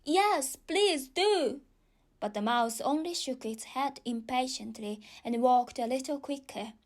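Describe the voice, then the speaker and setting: A woman's voice: fairly high pitch, moderate pace, a little mellow. A woman with a non-native English accent reading a children's story as an audiobook narrator.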